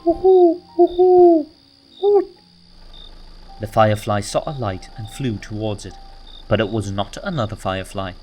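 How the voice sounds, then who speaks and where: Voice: low voice, fairly quick. A narrator reading a children's story aloud from a script, in a storybook style. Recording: music bed under the voice.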